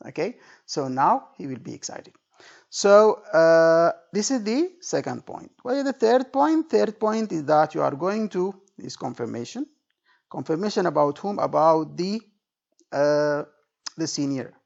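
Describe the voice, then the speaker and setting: A man teaching as an exam tutor in an informal spoken lecture, with a creaky voice, repeating points and often checking that the listener follows. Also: fairly high pitch, sing-song, unhurried, darkish tone. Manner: didactic, repetitive, checking understanding with frequent okay and right. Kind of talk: informal spoken lecture with role-played example phrases. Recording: dull lo-fi recording.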